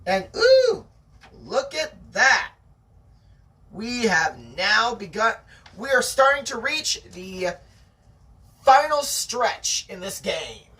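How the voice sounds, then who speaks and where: Animated, bright-voiced storyteller, slightly distant. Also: medium pitch, slow pace, long pauses. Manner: animated, theatrical. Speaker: host reading a novel aloud. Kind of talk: amateur audiobook reading with chatty asides.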